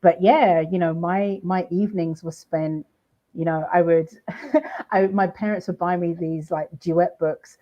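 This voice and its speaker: A woman's voice: on the low side, measured pace. Chatty British woman, dull sound. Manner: animated, chatty, frequent restarts. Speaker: guest. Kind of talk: casual podcast chat.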